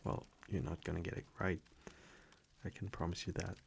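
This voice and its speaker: A man playing an affectionate centuries-old vampire boyfriend in an intimate ASMR roleplay, speaking softly, hesitantly and teasingly into a close microphone. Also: deep voice, level tone, normal speed, creaky, a little hiss or hum. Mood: teasing, fond. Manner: soft, hesitant, teasing, chuckling between phrases.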